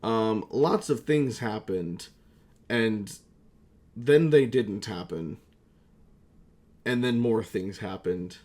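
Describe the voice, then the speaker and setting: A man's voice: fairly low pitch, slow, pauses often, a little mellow. A young man chatting casually as a YouTuber, rambling and self-mocking, with some swearing. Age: young adult. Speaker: YouTuber and amateur voice actor. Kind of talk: casual comedic vlog chat.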